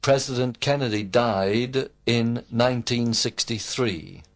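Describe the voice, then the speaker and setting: A man giving slow, complete textbook answers as a model student in a recorded English lesson. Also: medium pitch. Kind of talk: scripted full-sentence answers.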